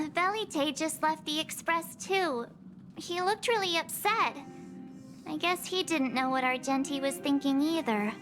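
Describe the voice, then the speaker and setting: A woman voicing a perky, naive teenage girl adventurer in a video game, chatty and expressive. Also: high-pitched, a little slow. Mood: downcast. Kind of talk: video game character dialogue. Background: game music.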